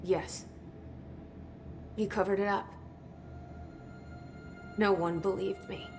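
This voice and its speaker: A woman's voice: mid-range voice, fairly even intonation, slow pace, music in the background, natural voice. An actress playing a determined young woman searching for her lost brother, terse and guarded. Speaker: game protagonist. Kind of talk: video game dialogue and inner monologue.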